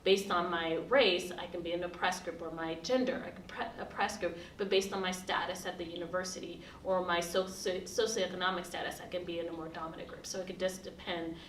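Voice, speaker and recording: Woman, university admin, lower voice, measured interview answers, roomy distant sound. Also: fairly even intonation, a little hiss or hum. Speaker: university diversity officer. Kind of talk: documentary interview.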